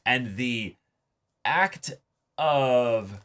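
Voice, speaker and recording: A man's voice: mid-range voice, drawn-out words, a bit bright. A man chatting casually as he hosts a reaction show, talking emphatically and swearing freely. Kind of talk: casual discussion and commentary of an anime episode.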